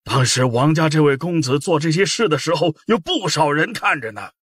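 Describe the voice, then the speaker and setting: A man's voice: a little high, expressive, normal speed, fairly bright tone, slightly rough, dry recording, studio quality, voice pushed up. A man voicing an honest, meek, bereaved commoner in an audiobook, tearful and pleading. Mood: earnest pleading. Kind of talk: audiobook character acting.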